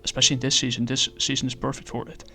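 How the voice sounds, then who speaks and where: A man casually reviewing a comic book, talking in a halting way with many fillers and restarts. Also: medium pitch, level tone, bright, forceful tone, faint music behind.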